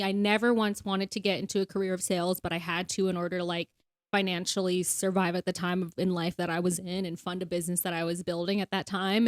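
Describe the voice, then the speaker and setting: A young woman in her twenties co-hosting a podcast, talking candidly and quickly with lots of fillers. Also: medium pitch, no room sound, clean studio sound. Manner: candid, talks fast with many "like" fillers. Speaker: podcast co-host. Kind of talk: casual chat.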